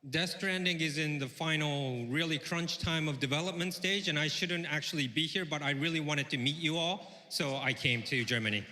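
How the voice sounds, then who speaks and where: Bright-voiced male interpreter in a big hall. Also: a little high, moderate pace, hardly any pauses, slight background noise. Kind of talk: live consecutive interpreting on stage. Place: large convention stage. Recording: reverberant convention hall.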